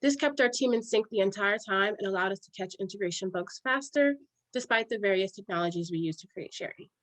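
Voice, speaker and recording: A young woman from New York steadily reading her part of a software project presentation. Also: mid-range voice, fast talker, dry recording, low-quality recording. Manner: steady, reads from a prepared script. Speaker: student presenter, scrum master.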